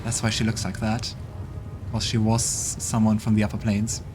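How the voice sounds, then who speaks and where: A man's voice: fairly low pitch, monotone, moderate pace. A man hosting a voiced let's play, reading game text and chatting casually. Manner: casual, reads dialogue aloud. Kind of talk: voiced game playthrough narration. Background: game music.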